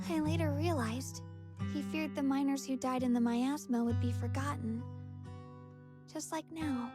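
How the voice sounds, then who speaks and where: High-pitched, wistful young girl. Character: wistful, gentle young girl remembering her father. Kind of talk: video game story dialogue. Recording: guitar music behind the voice. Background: plucked guitar music.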